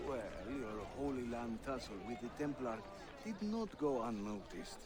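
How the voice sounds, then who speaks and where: A man's voice: mid-range voice, animated, measured pace, crowd noise, close to an everyday voice. An actor playing a jovial, wry Ottoman assassin leader in a video game, with a Turkish accent and a theatrical, teasing manner. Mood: genial. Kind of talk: dramatic game cutscene acting. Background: music behind the voice.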